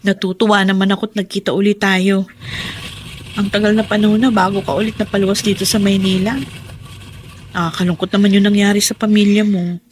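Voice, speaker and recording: A woman's voice: medium pitch, level tone, a little fast, a little hiss or hum, close to an everyday voice. An actress playing Angie, a long-suffering mother back from working abroad, in a radio drama. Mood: kindly. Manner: pleading, turning to anguished shouting.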